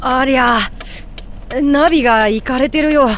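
An actor in a Japanese audio drama playing a composed figure who explains things in a measured way and gently guides others. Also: high voice, slight background noise. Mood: puzzled.

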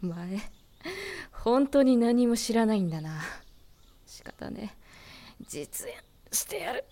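A woman playing a tough, pregnant female werewolf who talks roughly and mockingly in a dry, masculine style, sometimes dropping to a whisper. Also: fairly high pitch, expressive, slow pace, dark tone, slight background noise. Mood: commanding. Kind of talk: fan voice drama acting.

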